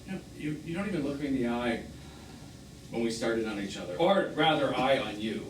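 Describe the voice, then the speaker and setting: A man's voice: medium pitch, expressive, a little fast, lightly acted. An actor on stage playing a bitter, wounded man in his fifties, sarcastic and theatrical, as a jilted lover in a live drama. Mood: hurt, accusing. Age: 50s. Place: small theatre, recorded from the audience. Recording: echoey, noisy stage recording from far off.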